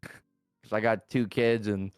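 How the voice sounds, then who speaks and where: Smooth-voiced man in his late twenties. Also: lowish voice, little pitch movement, slow, dry recording, clean studio sound, faint music behind. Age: 20s. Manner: hesitant, filler-heavy, casual slang. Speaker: podcast guest. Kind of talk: casual podcast chat.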